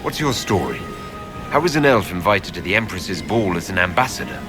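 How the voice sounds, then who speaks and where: A man's voice: sits low, very animated, brisk, music in the background. An actor playing a direct, formal, questioning male hero in a fantasy video game. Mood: inquiring. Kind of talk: video game character dialogue.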